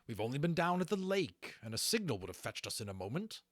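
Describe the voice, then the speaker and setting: Principled officer, performed by a man; formal, firm, courteous, raised pitch, audiobook drama. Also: big pitch swings, fast, dry recording, studio quality. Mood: sharp. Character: stern, dutiful, courteous male dragon-riding captain.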